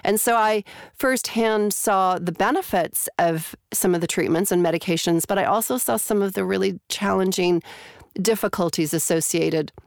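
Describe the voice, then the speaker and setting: Middle-aged woman, Canadian accent, gravelly, dry sound, interview guest. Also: mid-range voice, normal speed, no echo. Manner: thoughtful, many fillers and restarts. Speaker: psychology professor and guest. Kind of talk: interview answers.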